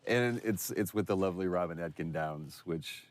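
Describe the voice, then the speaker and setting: A man's voice: deep voice, moderate pace, slight background noise. A man giving casual, enthusiastic behind-the-scenes commentary as an actor looking back on his work. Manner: enthusiastic, effusive.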